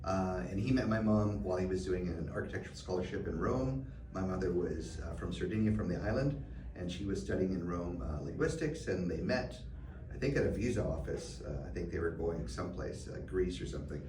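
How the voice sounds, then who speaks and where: A middle-aged man, answering interview questions thoughtfully, often pausing with fillers and restarts. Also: low-pitched, lively intonation. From Canada. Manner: reflective, many fillers and restarts. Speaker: guest. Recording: roomy, distant mic, background noise.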